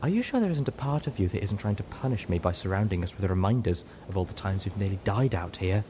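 A man voicing a refined female unicorn with a prim, measured and cutting way of talking, in an audiobook. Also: fairly low pitch, animated, dark tone, a little hiss or hum, lightly acted. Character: refined female unicorn, sharp-tongued then kind.

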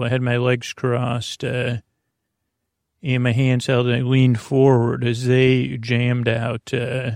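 A man with a gravelly, creaky voice murmurs a meandering bedtime chat, drifting from thought to thought with plenty of "uh"s and restarts. His tone is soft and lulling. The sound is close and dry, with no room around it. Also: medium pitch, dry recording. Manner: rambling and goofy, with many fillers and restarts. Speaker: sleep podcast host. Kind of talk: meandering bedtime talk to help listeners sleep.

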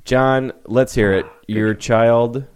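A man's voice: medium pitch, fairly even intonation, slow, clean tone. A man hosting a casual podcast chat, joking, laughing and swearing easily. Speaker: podcast host.

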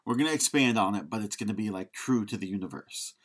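A man's voice: lowish voice, lively intonation, fast talker. A man co-hosting a podcast, giving casual film critique in a frank, opinionated way. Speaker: podcast co-host.

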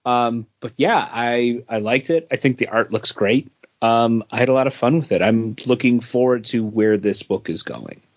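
A man's voice: mid-range voice, moderate pace, dry recording. A man co-hosting a podcast in casual, conversational chat. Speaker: comics podcast co-host. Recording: dull, dry lo-fi audio.

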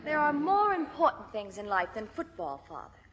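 A high, girlish voice for a melodramatic teenage daughter. She is sulky and self-pitying, speaks with affected formality in an American accent, in 1950s radio drama style. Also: sing-song, moderate pace, a bit bright, big-room sound, highs cut off. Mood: haughty, sulky.

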